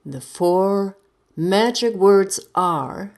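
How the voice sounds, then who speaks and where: A woman voicing a dreamy, lonely old widower in a storybook reading, theatrical, with drawn-out pauses. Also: mid-range voice, lively intonation, a little mellow, voice pushed up. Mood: proud, dramatic. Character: dreamy, dramatic, lonely old widower savoring his memory.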